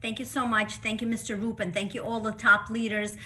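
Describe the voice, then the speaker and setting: A woman's voice: fairly high pitch, flat delivery, a little fast, pushed and bright. A middle-aged woman from Russia telling her personal story as a guest speaker, earnest and emphatic, over a video call. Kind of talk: personal testimony.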